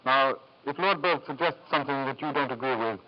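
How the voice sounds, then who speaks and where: Actor as a wry family doctor, muffled radio. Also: male voice, mid-range voice, fairly quick, natural voice. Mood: firm. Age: middle-aged. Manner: wry, dry, blunt. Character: dry, protective, plain-spoken family doctor. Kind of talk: old-time radio drama acting. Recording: muffled 1940s-50s radio recording.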